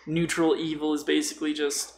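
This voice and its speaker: Higher-pitched man with gravelly creak. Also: monotone, a little fast, slight background noise. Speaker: podcast co-host discussing tabletop role-playing. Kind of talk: casual conversational talk.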